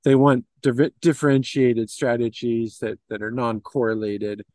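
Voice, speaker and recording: A middle-aged man speaks as an interview guest in a business conversation. His pitch sits in an ordinary male range and the sound is dry, with little room. He works through his answers in a meandering, hesitant way, padding them with you-knows. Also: flat delivery, normal speed, a little mellow, no room sound. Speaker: interview guest, investment firm executive.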